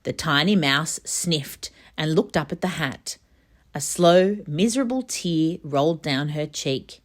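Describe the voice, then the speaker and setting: A woman reading a children's storybook aloud, expressive and emphatic in her narration. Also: low voice, measured pace. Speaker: storybook reader.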